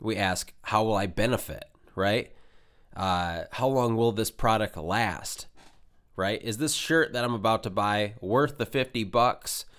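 A man's voice: fairly low pitch, a little slow, fairly bright tone, clean tone. A young man hosting his own podcast, talking casually and directly to listeners while sounding nasal from a cold. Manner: direct, coaching, fillers like "right?" and "okay?". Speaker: podcast host. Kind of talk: casual solo podcast talk.

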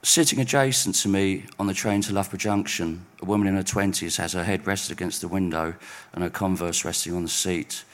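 A man reading his overheard-conversation stories at a live spoken-word night in a pub, wry and deadpan. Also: low voice, brisk, few pauses. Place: pub venue stage.

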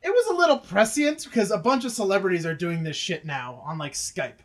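A man chatting casually as a podcast co-host, sweary, sarcastic and animated in manner. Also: sits high, a little fast. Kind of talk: casual comedic group chat.

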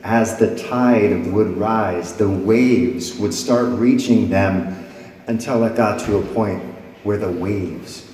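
A man's voice: on the low side, takes it slowly, darkish tone. A man preaching a sermon to a congregation, reading scripture dramatically and letting his voice swell and fall. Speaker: pastor. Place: church sanctuary before a congregation. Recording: echoey hall with long reverb.

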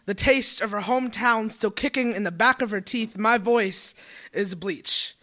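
A man's voice: high voice, measured pace, bright, forceful tone. A young man performing a spoken word poem as an earnest, numbered recitation. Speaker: poet.

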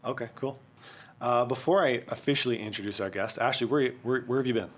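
A man from Quebec hosting a casual podcast chat, relaxed and easygoing, laughing often. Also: medium pitch, fairly quick. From Quebec, Canada. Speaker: podcast host.

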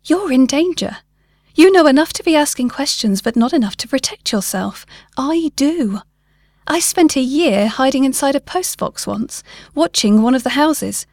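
Paranoid conspiracy theorist, male, late fifties, played by a female storyteller. Gruff, hectoring, urgent; pitched up in emphasis, very dry sound. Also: expressive, moderate pace, darkish tone, no room sound. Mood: intense, urgent. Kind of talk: short story character dialogue.

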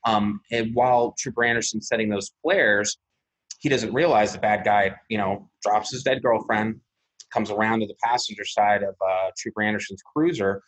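A man with a husky voice, an interview guest recounting events methodically, step by step, with frequent pauses. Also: fairly low pitch, fairly even intonation, fairly bright tone, poor audio. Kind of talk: recounting a personal incident in an interview.

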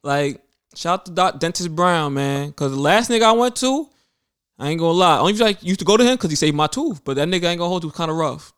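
A man co-hosting a relaxed podcast, winding through long stories and slipping into other people's voices when he quotes them. His voice sits a touch high. The sound is close and dry, with no room echo. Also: fast, clean tone. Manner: rambling, animated storytelling with quoted voices. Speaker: podcast co-host. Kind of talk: casual podcast chat.

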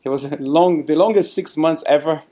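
A man from Brazil with a Brazilian Portuguese accent, telling his story as a guest in a casual interview, chatty and quick to laugh. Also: a little high, dark tone. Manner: chatty, laughs often, many fillers. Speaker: guest, cafe owner.

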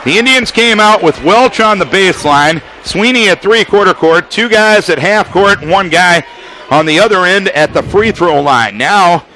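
A man delivers radio basketball play-by-play in a high, bright-pitched voice. He calls the action in rapid bursts that lift into shouts on the big plays. Steady hiss and gym noise sit under his voice. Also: rough recording. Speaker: play-by-play announcer. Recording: live gym broadcast with crowd noise.

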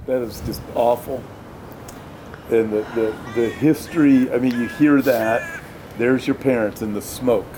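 A man's voice: medium pitch, steady tone, unhurried, noisy background. An American man, a pastor conducting an interview, speaking earnestly and emphatically, often repeating his phrases.

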